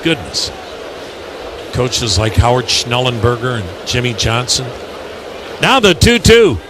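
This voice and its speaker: An American man calling live baseball on the radio, mixing measured storytelling with clipped pitch calls. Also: lively intonation. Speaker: baseball radio play-by-play announcer. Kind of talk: live commentary with conversational asides. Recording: clearly noisy ballpark broadcast.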